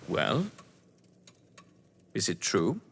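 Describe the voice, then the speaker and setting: Man playing an arch, animated English gentleman, echoey. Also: sits low, extremely slow, husky, exaggerated voice. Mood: smooth, probing. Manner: arch, wordy, condescending. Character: suave, sardonic upper-class English crime novelist husband. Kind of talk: theatrical audio drama dialogue. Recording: echoey hall-like reverb.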